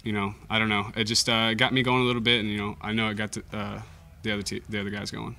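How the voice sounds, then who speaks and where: A young man, a college baseball pitcher, giving modest, understated answers at a post-game press conference. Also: flat delivery, fast, gravelly, bright, forceful tone. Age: young adult. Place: indoor press conference room. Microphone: table microphone.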